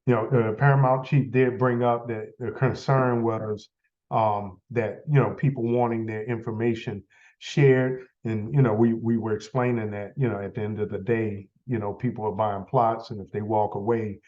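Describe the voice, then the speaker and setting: Man, stuffy from a cold, low voice, halting with fillers, muffled lo-fi sound. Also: fairly even intonation. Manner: halting, many fillers and restarts. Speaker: association leader running a meeting. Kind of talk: casual meeting update.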